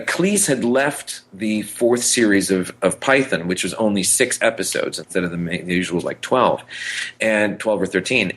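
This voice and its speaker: Male podcast co-host, lower register, casual and enthusiastic, rambling delivery with halting repetitions. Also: fairly bright tone. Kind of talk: casual chat about pop culture.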